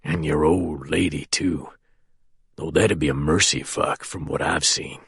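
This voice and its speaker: A man doing a raspy, whispering old villain. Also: a little slow, dry recording, studio quality, full character voice. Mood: low menace. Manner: calm, low and threatening. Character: defiant, menacing older male crop duster.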